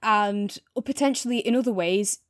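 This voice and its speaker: Female podcast co-host, mid-pitched, casual review chat, very dry recording. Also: moderate pace, no echo.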